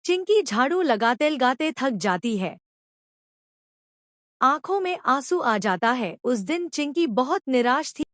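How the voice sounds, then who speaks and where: Woman reading a kids moral story, slow n expressive, dry sound. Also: high-pitched, no room sound. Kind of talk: scripted children's moral story narration.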